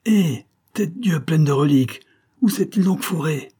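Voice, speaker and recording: A man's voice: fairly low pitch, normal speed, darkish tone, breathy edge. A man reading aloud plays a grieving old nobleman, a father who cries out and begs tearfully. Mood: crying out.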